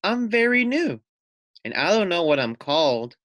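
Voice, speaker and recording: A man's voice: sits high, sing-song, normal speed, fairly bright tone, dry recording, clean studio sound, pitched above the performer's own voice. A man voicing a small, fluffy young female lamb, innocent-seeming but crafty, in a children's storybook.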